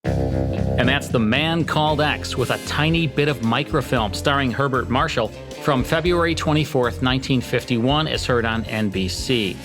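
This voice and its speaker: A man's voice: medium pitch, normal speed. A man hosting a podcast, reading a scripted outro. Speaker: podcast host. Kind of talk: scripted narration. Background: music.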